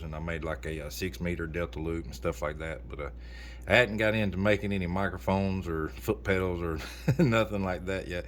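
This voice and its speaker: A low, deep male voice rambles along in a folksy, casual way, like a radio hobbyist talking with friends. There is a faint layer of noise behind him. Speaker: amateur radio operator recording for a video channel. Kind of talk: casual chat over ham radio.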